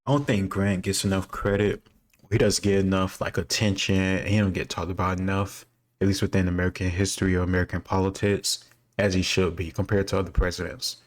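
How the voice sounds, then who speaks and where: A man's voice: low-pitched, level tone, fairly quick. A man giving casual, rambling commentary as he answers quiz questions, thinking aloud and candid about what he doesn't know. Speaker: quiz-taker sharing his own commentary.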